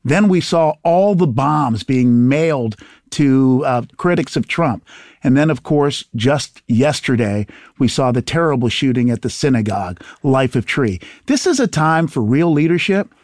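Measured, earnest man as a political guest. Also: mid-range voice, animated, a little mellow, dry recording, clean studio sound. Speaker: guest, attorney general. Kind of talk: political interview.

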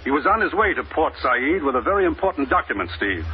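Grave American commissioner, muffled old radio. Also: male voice, a little high, very animated, fast, breathy edge, exaggerated voice. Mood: grave, businesslike. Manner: grave, authoritative, clipped. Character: grave, businesslike male government commissioner briefing an agent. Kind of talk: 1950s radio drama acting.

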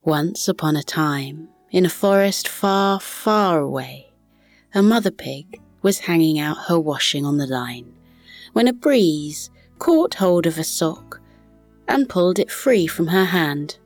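Slow, soothing woman bedtime narrator. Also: lowish voice, expressive, dry recording, faint music behind. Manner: soothing, measured. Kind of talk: scripted children's bedtime narration.

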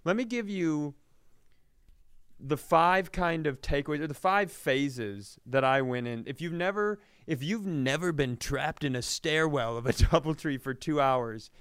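Smooth-voiced, higher-pitched man telling comic stories. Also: unhurried, fairly bright tone, no echo, studio quality. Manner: self-deprecating, profane. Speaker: podcast co-host. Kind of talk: comedic storytelling in casual podcast chat.

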